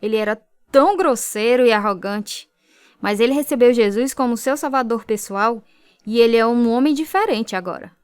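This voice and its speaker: Actress as devout, earnest young Brazilian woman, higher voice. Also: moderate pace, clean tone, no room sound, natural voice. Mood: earnest, firm. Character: devout young woman, earnest new convert, telling her story. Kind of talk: radio drama narration and dialogue.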